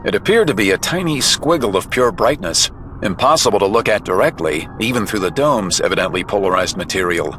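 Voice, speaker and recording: A man's voice: fairly low pitch, lively intonation, a little fast, a bit bright, a little hiss or hum, poor audio. A man reading dramatic scripted narration for a comic-book adventure.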